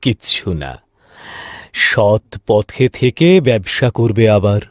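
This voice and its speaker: A man voicing a mild, steady older village man in a cartoon. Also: on the low side, slow, dry recording, studio quality. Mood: calm, reassuring. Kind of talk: cartoon voice acting.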